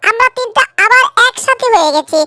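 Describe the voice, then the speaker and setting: A woman's voice: extremely high pitch, expressive, brisk, bright, forceful tone, dry recording, heavily acted. A woman voicing a plucky, cheeky little animal in a cartoon dub. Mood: bold, gleeful.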